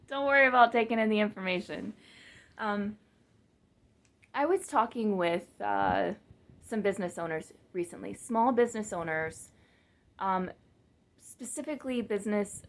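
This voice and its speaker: A woman talking casually to her camera in a monotone, flat voice, rambling with fillers and restarts and poking fun at herself. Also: mid-range voice. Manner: rambling, many fillers and restarts, self-mocking. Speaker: vlogger and business coach. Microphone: camera mic, speaking to the lens.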